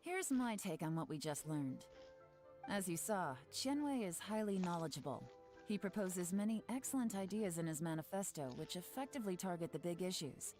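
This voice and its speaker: An actress playing a composed woman investigator in a game, speaking in a cool, assessing way over soft music. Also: on the low side, animated, moderate pace, close to an everyday voice.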